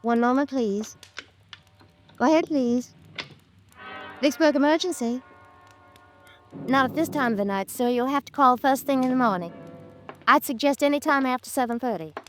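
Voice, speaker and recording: An actress with an American accent playing a polite, brisk, unyielding hospital switchboard receptionist in a 1950s radio drama. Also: high voice, soft background music, natural voice.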